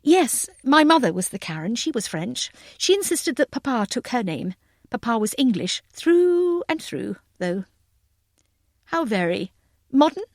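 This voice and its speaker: A woman's voice: a little high, very animated, unhurried, no echo, heavily acted. Audiobook heroine: young woman pilot , acted by a female narrator, effusive, mannerly, period phrasing, close dry sound. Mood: bright, chatty. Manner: effusive, polite, old-fashioned phrasing. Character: chatty, bright, well-bred young woman aviator, eagerly apologetic.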